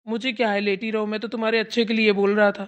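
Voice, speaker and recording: A woman's voice: mid-range voice, level tone, fast, muffled recording, lightly acted. A woman voicing a nagging, grumpy young husband in a family cartoon, bickering in a casual, curt way. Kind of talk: amateur Hindi family cartoon dialogue.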